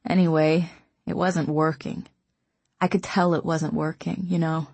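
A woman voicing a young man with terminal cancer, speaking quietly with wry, weary resignation in an audiobook. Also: low-pitched, little pitch movement, unhurried, creaky, husky, dry recording, heavily acted. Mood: grim, resigned. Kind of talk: audiobook character reading.

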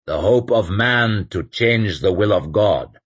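A fatalistic churchman speaks in a low, measured intone, as a male narrator puts him on. Husky and unhurried, with a lively lilt. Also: exaggerated voice. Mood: cold intoning. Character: cold pious male cleric, calm and fatalistic.

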